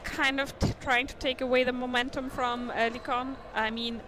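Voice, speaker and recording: Woman, non-native English speaker, live sports commentator; fairly high, chatty delivery over loud hall noise. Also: little pitch movement, moderate pace. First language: not English. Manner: chatty, thinks aloud, stumbles on names. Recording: noisy sports hall ambience. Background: bouncing balls and hall noise.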